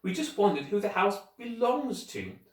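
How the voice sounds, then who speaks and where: A curious young schoolgirl from a children's book, played by a man. Her talk is earnest and questioning, lifted in pitch with lively movement. It is heard in an echoey room. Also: moderate pace. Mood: eager, anxious. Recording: roomy echo, distant microphone.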